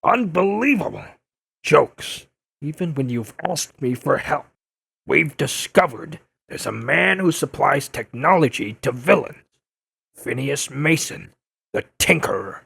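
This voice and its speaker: An actor playing a gruff, blustering older newspaperman in an audio drama, insistent and quick to insult. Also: on the high side, expressive, fairly bright tone, no echo, heavily acted. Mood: scornful. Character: gruff, combative older male newspaperman turned blogger. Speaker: investigative reporter interviewing a superhero. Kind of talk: audio drama dub acting.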